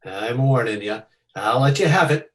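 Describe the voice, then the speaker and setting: A man's voice: mid-range voice, animated, normal speed, a little hiss or hum, voice pushed down, lightly acted. A man voicing a menacing holdup thug, curt and threatening, in 1940s radio style.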